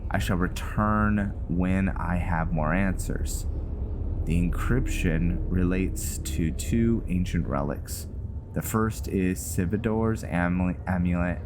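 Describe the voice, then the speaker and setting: Low male voice, unhurried, musing game commentary, dry asides. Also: noisy background. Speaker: gaming video host. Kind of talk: casual roleplay gameplay commentary, sometimes reading in-game text aloud. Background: game music.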